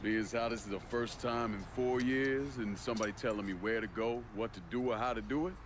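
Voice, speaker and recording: A man's voice: mid-range voice, fairly even intonation, a little fast, noisy background, close to an everyday voice. An actor playing a blunt young American veteran, a man who talks dryly and swears in a game cutscene. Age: young adult. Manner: laconic, dry, profane. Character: blunt, laconic young Vietnam veteran back home.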